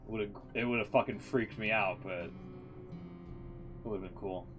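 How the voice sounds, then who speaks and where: A man streaming, with a fairly low voice and music clearly behind him. His commentary is casual and rambling, with easy swearing. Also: normal speed. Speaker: live streamer.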